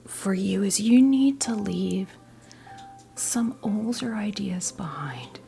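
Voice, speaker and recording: A woman in her fifties with an American accent gives an ASMR tarot reading in a breathy whisper. Her delivery is very slow and gentle, with a gravelly crackle at the edges. Music plays clearly behind her. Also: mid-range voice. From New Jersey, United States. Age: 50s. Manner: soothing, conversational, halting and gentle. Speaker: ASMR creator role-playing a witch tarot reader. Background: soft background music.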